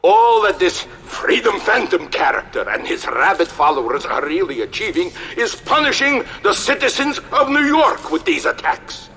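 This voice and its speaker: An actor playing a stern Soviet general in a video game, speaking firmly like an official making a public statement. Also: male voice, high voice, sing-song, moderate pace, hardly any pauses, sharp tone, exaggerated voice. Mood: indignant, pleading. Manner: firm, official, politician-like. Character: stern male Soviet general and occupation leader. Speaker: military leader giving interview. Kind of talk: scripted game acting.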